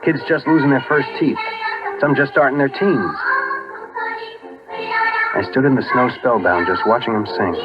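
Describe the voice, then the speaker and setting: A man's voice: medium pitch, moderate pace, long pauses, natural voice. A dull, vintage 1950s radio recording with music behind it. A male actor plays an American soldier telling his own story in the first person, moved by what he remembers. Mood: wistful, spellbound. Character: American army soldier recalling a Christmas in Korea, moved. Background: dramatic music.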